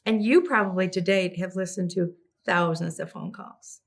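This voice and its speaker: A woman hosting an interview, asking questions and cheering her guest on with quick affirmations. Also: lowish voice, steady tone, creaky. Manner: eager, affirming, leads with questions. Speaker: interview host.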